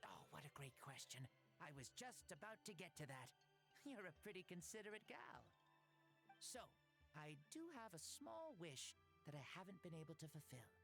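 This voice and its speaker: A woman's voice: fairly low pitch, big pitch swings, moderate pace, vocal fry, a bit bright, exaggerated voice. A woman playing a playful, grandiose ancient warrior hero in a boy's body for a video game, declaiming theatrically. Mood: playful boasting. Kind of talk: video game character acting. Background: background music.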